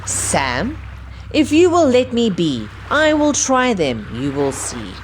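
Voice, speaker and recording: High, animated woman reading a children's story. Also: a little hiss or hum. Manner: slow, sing-song, emphatic delivery for young children. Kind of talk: expressive read-aloud of rhyming picture book.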